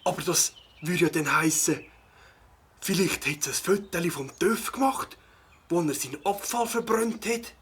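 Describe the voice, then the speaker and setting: Helpful nature-lover played by a man for a kids' radio play, Swiss German, very raspy and breathy, with birdsong around. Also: on the high side, normal speed, fairly bright tone. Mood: friendly. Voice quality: husky, breathy. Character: kindly adult male birdwatcher, concerned, helpful. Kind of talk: children's audio drama acting.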